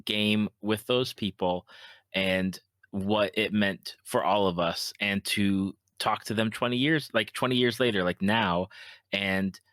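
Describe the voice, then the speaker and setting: Male podcast host, middle-aged, lowish pitch, dry close sound, conversational and reflective. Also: fairly even intonation, takes it slowly, a bit bright, no room sound. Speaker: podcast interviewer. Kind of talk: casual interview conversation.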